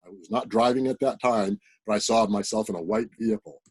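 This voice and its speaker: A man's voice: lowish voice, fairly quick, darkish tone, slightly rough. A man on a video call earnestly telling a personal spiritual story in a plain, direct way. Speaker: participant sharing a story.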